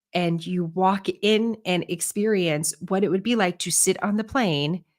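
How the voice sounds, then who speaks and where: A woman reporter speaks in a slightly low voice, earnest and reflective. She sometimes pauses to search for the right word as she narrates.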